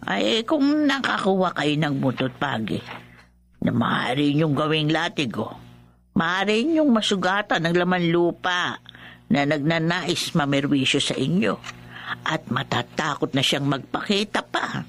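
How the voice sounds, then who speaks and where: An actress playing a grave, knowing village folk healer in a horror radio drama, speaking with ominous emphasis. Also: female voice, low-pitched, expressive, measured pace, fairly bright tone, exaggerated voice. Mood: concerned, knowing.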